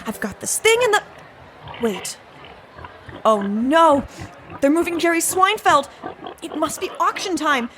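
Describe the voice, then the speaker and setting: A woman's voice: high-pitched, expressive, measured pace, pauses often, a bit bright, a little hiss or hum. A woman acting as an earnest, flustered secret agent in a comedic audio drama, talking in emphatic exclamations. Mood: panicked. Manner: emphatic, exclamatory, uses quaint mock-swearing. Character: flustered, earnest female secret agent undercover as a pie baker.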